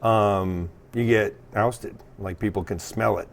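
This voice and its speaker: A man with an American accent chatting casually as a guest, sweary and halting as he corrects himself. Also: fairly low pitch, steady tone, creaky. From California, US. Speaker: guest, punk drummer. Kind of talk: casual chat, telling own story.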